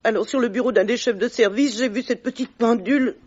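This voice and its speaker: An actress playing a frightened middle-aged wife who pleads and denies. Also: female voice, fairly high pitch, fairly quick, darkish tone, close to an everyday voice. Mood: pleading. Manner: pleading, defensive. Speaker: suspect. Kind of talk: radio drama acting. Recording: dull lo-fi sound.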